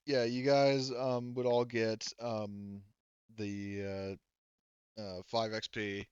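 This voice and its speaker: A man's voice: on the low side, flat delivery, slow pace, a little mellow, smooth, dry recording. A man chatting casually as a game master, informal and given to swearing, on dull lo-fi audio. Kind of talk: casual chat after a tabletop game.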